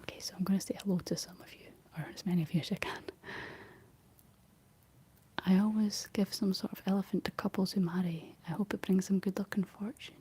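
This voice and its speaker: A woman's voice: mid-range voice, fairly even intonation, fairly quick. Whispering young Scottish woman. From Scotland. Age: young adult. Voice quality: soft, breathy whisper. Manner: gentle, rambling chat while painting. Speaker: ASMR streamer reading and answering live chat. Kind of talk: whispered ASMR casual chat. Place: living room.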